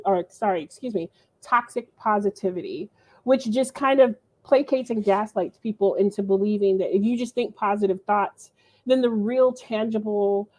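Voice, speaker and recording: A woman's voice: medium pitch, darkish tone. A woman professor and guest presenter giving an earnest, explanatory talk, correcting herself now and then. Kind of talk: conversational lecture.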